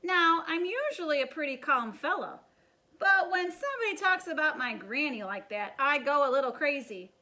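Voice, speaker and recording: Woman reading as an animated, self-justifying storybook wolf. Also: sits high, full character voice. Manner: chatty, mock-innocent, dramatic storytelling with drawn-out emphasis. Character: wheedling, self-justifying wolf telling his side of the story. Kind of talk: expressive children's storybook reading.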